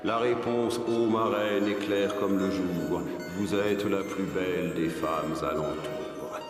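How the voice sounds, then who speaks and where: A man voicing a solemn magic mirror spirit that declaims measured verse in a dubbed cartoon. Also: sits low, fairly even intonation. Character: solemn magic mirror spirit, obedient oracle speaking in rhyme. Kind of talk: dubbed fairy-tale cartoon acting. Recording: cavernous long echo, music behind.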